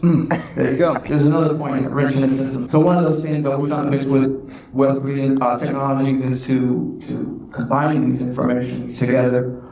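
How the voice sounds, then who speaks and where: A man, a software developer on a conference panel, speaking haltingly with many fillers. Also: mid-range voice, flat delivery, slight background noise. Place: conference hall. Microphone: handheld mic, picked up from afar. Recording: roomy hall sound, faint ambience.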